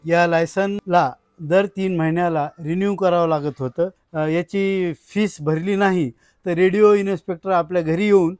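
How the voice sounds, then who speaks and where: A man's voice: sits high, fairly even intonation, moderate pace, darkish tone, smooth, no room sound, studio quality, soft background music. A man talks informally as a collector, explaining his items in short phrases. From India. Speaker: radio collector being interviewed.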